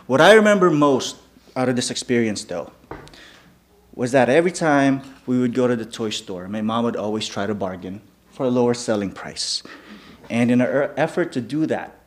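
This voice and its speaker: A man from the Philippines, a native Filipino speaker, tells personal stories to a live audience. He talks at an ordinary, middle pitch and keeps checking in with the room by asking "okay?". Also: lively intonation, normal speed. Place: venue before a live audience.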